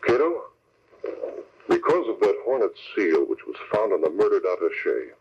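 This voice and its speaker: Authoritative, earnest masked-hero type, lower register, dull vintage radio sound. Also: male voice, sing-song, moderate pace, darkish tone, breathy edge, full character voice. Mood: resolute. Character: resolute newspaper boss and secret crime-fighter, adult man. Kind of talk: old-time radio drama acting. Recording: muffled, noisy old radio recording.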